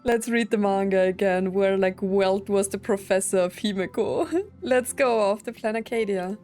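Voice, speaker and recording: A woman's voice: medium pitch, normal speed, a little mellow. A woman streamer giving casual, chatty, giggly commentary as she plays a story game. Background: game music.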